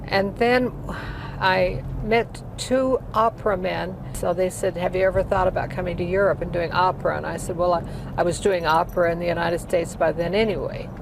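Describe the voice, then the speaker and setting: A woman's voice: on the low side, fairly even intonation, normal speed, vocal fry, noisy background. Chatty woman in her eighties. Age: 80s. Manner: chatty, rambling, many restarts. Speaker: guest, singer. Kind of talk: interview storytelling.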